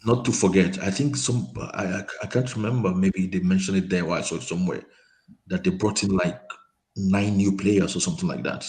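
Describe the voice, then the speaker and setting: Man, very low voice, halting, restarts his words, casual football chat over a quiet call mic. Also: low-quality recording. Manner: hesitant, repeats words and says um often. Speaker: panel guest. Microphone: remote call mic, quiet.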